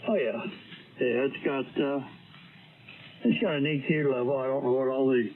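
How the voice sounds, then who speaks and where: Male ham operator, relaxed conversational delivery, thin radio-band sound with some background hiss. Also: mid-range voice, moderate pace. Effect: radio transmission. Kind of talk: casual radio chat. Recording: narrowband, staticky ham radio transmission.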